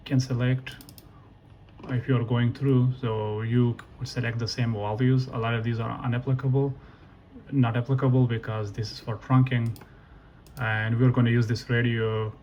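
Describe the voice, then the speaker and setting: A man giving a casual, unscripted software walkthrough in a halting way, thinking aloud and correcting himself. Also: medium pitch, dull audio. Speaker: tutorial presenter. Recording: noisy room with keyboard typing. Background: computer keyboard clicks, ambient noise.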